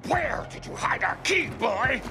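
A menacing video game thug, taunting and aggressive. The voice is pushed very high and swings widely, with a gravelly edge, under loud music. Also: male voice, sing-song, a little slow, full character voice. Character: menacing thug renegade hunting a key.

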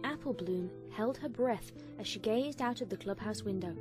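A woman's voice: medium pitch, normal speed, over music. A woman reading a scripted story as a dramatic fan fiction narrator.

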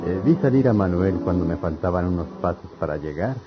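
An actor playing a kindly, concerned village priest in an old-fashioned radio serial, measured and paternal. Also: male voice, low voice, expressive, lo-fi sound, music in the background.

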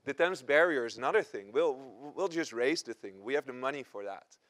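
A man's voice: medium pitch, fairly quick. Man on a panel. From Netherlands. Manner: animated, gesturing, many restarts. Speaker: panel scientist. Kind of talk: panel discussion answer. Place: conference stage, seated in armchair. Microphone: lapel.

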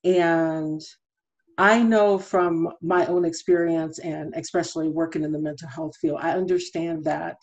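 A middle-aged woman speaking as a counselor on a panel over a video call from home. She speaks in a measured way with many fillers. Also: low voice, steady tone. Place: room at home. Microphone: laptop webcam on a video call. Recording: muffled video call audio.

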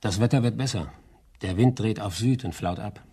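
A man's voice: lowish voice, normal speed, mellow, husky. An actor playing a dry, plain-spoken coastal watchman in a German crime radio play, laconic and colloquial.

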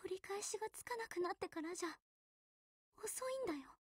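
Casting: caring, straight-talking teenage girl idol, game dialogue. Very high, lively intonation, casual phrasing. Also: a little fast, dry recording, studio quality. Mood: upset, pleading. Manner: casual, direct, emotionally pressing. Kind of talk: Japanese mobile game visual novel acting.

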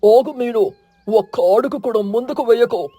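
A man playing a stern, righteous eagle who leads a village of birds in an animated moral story, speaking in a firm, commanding, scolding way. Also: extremely high pitch, normal speed, no echo. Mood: angry, stern.

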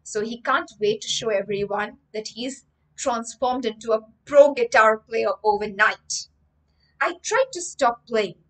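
A woman's voice: a little high, rough recording. A young woman, reading a story aloud on a livestream and explaining vocabulary expressively, in a room at home. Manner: expressive, emphatic reading with frequent word explanations. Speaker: teacher, storyteller. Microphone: webcam framing, livestream.

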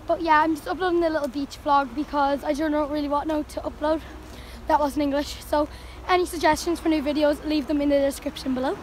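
A young girl chats to her phone camera at arm's length, high-pitched and eager, apologising as she goes. Wind and surf hiss loudly behind her on the phone mic, outdoors on a sunny beach. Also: brisk. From Ireland. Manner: chatty, apologetic, excitable. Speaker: vlogger. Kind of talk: casual vlog to camera.

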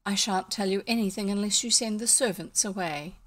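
A woman's voice: mid-range voice, a little fast, natural voice. A woman voicing a plucky, unflustered little girl in a storybook, pert and forthright. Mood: pert, defiant.

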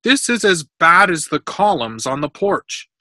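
A storybook workman, voiced by a man reading aloud, short-tempered and exasperated. He complains loudly in a bright, forceful voice, close and dry. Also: on the high side, big pitch swings, unhurried, dry recording, voice pushed up, full character voice. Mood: grumbling, irritated.

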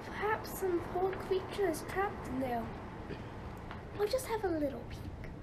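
Woman playing very high-pitched curious Pandora. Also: lively intonation, a little slow. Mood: curious, eager. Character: Pandora, curious young bride. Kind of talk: theatrical radio play acting. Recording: noticeable background noise.

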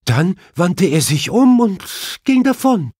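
A man's voice: sits high, sing-song, a little mellow, slightly rough, dry recording, studio quality, pitched above the performer's own voice, full character voice. A man voicing a grieving middle-aged widow with a brittle, tearful voice in an audiobook. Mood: shaken, distressed. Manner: halting, sobbing.

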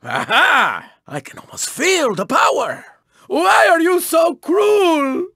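A man's voice: very high-pitched, huge pitch swings, measured pace, bright, forceful tone, husky, dry recording, clean studio sound, heavily acted. A man voicing a cocky, flamboyant race car in a video game, with a Spanish accent and a boastful, dramatic manner. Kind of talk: theatrical video game character barks.

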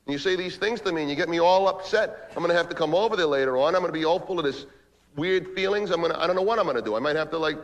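A man's voice: fairly high pitch, very fast, natural voice, poor audio. An actor playing a manipulative, foul-mouthed male office boss working the phone, badgering and repeating himself in a comic dramatic monologue. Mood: smooth, flirtatious. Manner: repetitive, badgering, swinging between ranting and smooth-talking.

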